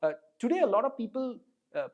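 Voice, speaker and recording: A man with an Indian English accent, a podcast guest telling stories in a casual interview, fluent and animated, often adding "right?" and "you know". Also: extremely high pitch, fast talker, lo-fi sound. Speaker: podcast guest, design executive.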